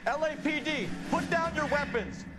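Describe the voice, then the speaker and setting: An actor playing a tough, commanding police officer in a 1940s crime video game. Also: male voice, extremely high pitch, lively intonation, normal speed, heavy background noise. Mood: shouting warnings. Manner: barking, commanding. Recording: loud game soundtrack behind.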